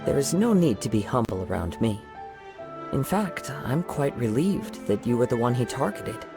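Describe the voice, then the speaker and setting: An actor playing a calm, courteous young samurai in a game, speaking in a formal, soft-spoken way. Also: male voice, mid-range voice, normal speed. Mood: composed. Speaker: wandering samurai. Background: game music.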